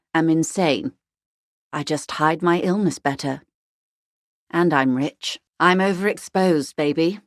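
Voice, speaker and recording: Wealthy, weary corporate negotiator, video game role: fairly low female voice, eloquent and measured, very dry studio sound. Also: no echo. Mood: wry, confiding. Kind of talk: literary video game dialogue acting.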